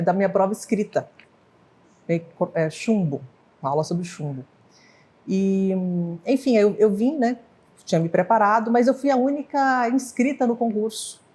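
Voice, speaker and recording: A woman's voice: mid-range voice, warm tone, husky. A woman in her fifties from Brazil, telling her life story in an interview, rambling and correcting herself with short laughs. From Brazil (Goiás / northwest Minas Gerais). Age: 50s. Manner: rambling, hesitant with self-corrections and restarts; laughs lightly at her own slips. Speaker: professor of pharmacy, interviewee. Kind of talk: oral history interview.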